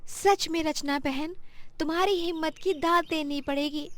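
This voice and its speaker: A woman's voice: sits high, steady tone, a little slow. A woman voicing an admiring, earnest village customer in cartoon dialogue, speaking emphatically.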